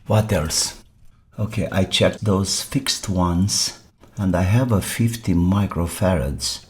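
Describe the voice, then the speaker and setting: A man thinking aloud while he repairs electronics, muttering to himself in short fragments with pauses. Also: low-pitched, a little mellow. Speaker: electronics repair video maker.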